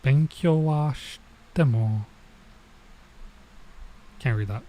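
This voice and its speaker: A man with a middling pitch talks as a game streamer. He reads visual novel text aloud and comments in a casual way, slowing and halting as he sounds out unfamiliar words. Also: warm tone, a little hiss or hum. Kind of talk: casual let's play, reading dialogue aloud and commenting.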